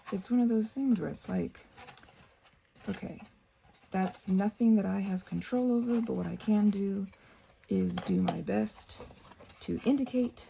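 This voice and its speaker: An American woman rambling casually while she does crafts, halting and trailing off into soft-spoken asides. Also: medium pitch, vocal fry, background noise. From United States. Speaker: craft and ASMR channel host.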